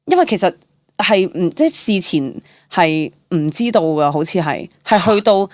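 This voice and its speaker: A young woman from the Hong Kong Cantonese-speaking world, with a slightly low voice recorded close and dry. She tells stories casually and candidly, animated and chatty, slipping English words into her sentences. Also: normal speed, no echo. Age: young adult. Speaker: interview guest, actor.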